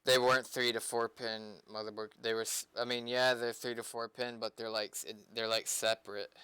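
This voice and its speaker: A man talking casually and off the cuff on a stream, halting and rambling, with some swearing. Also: medium pitch, flat delivery, bright, forceful tone, clear, resonant tone, no echo, poor audio. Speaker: streamer doing game development. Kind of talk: casual unscripted stream chat.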